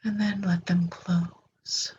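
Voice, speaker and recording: A woman leading a guided meditation in a gentle, unhurried manner, leaving long pauses and trailing off breathily at the ends of phrases. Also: fairly low pitch, little pitch movement. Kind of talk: guided meditation, slow soothing instruction. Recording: dull lo-fi recording with clear background noise.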